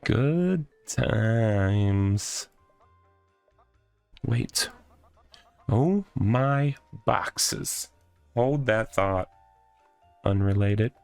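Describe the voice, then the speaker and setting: A man's voice: fairly low pitch, animated, drawn-out words, gravelly, pauses often, a little mellow, clean tone, no echo, soft background music, exaggerated voice. A man voicing an odd, rambling guy who lives in a box in a comic visual novel, talking absurd nonsense matter-of-factly. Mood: rambling, breezy.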